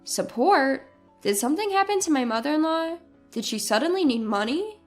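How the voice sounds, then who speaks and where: High, pointed betrayed wife played by a woman. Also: sing-song, moderate pace, faint music behind. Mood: probing. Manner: pointed, questioning. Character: betrayed, sharp-tongued wife and mother confronting her husband.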